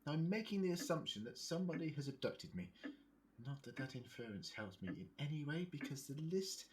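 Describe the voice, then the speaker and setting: A man's voice: on the high side, very animated, fairly quick, background noise. A man playing a polite, wry, articulate time-travelling doctor in an audio drama. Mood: puzzled, frank. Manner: articulate, courteous, precise wording. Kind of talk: fan audio drama acting.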